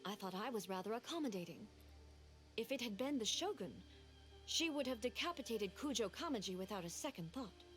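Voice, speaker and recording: A woman voicing a composed, regal female deity in a game, measured and formal. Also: fairly high pitch, animated, natural voice. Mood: solemn. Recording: game audio with music behind.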